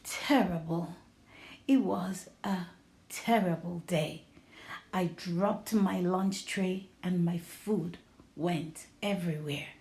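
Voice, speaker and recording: Storybook schoolboy, played by a woman: downcast and grumbling, low-pitched, soft dark tone. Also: slow. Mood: glum. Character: glum young schoolboy, grumbling about his bad day.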